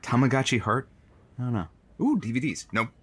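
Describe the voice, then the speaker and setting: A man's voice: medium pitch, big pitch swings, brisk, breathy edge. A man playing a naive, easily amazed first-time library patron in a comic audio drama. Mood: amazed, curious.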